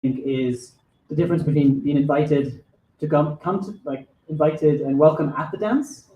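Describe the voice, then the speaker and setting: A man on a live café panel, enthusiastic in his praise while pausing to find his words. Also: on the high side, echoey room, muffled recording.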